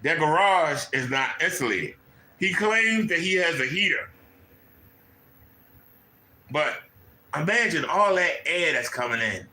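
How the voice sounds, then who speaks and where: A man's voice: a little high, lively intonation, unhurried, long pauses, pushed and bright, roomy sound, rough recording. A man from Maryland hosting a commentary channel, mocking someone in a clip with incredulous, repetitive questions. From Maryland, USA. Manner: incredulous, mocking, repeats points. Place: home room.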